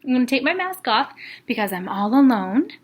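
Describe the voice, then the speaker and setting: A woman with a fairly high voice speaks as an elementary school teacher to young children. She keeps her phrasing simple and reassuring, with expressive delivery suited to a read-aloud. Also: a little fast.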